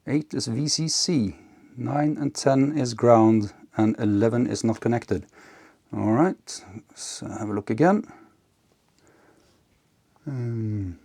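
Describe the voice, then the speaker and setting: A man giving casual, unscripted hands-on narration as an electronics hobbyist, halting and half talking to himself as he works. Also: medium pitch, creaky, dark tone. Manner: halting, muttering to himself while working.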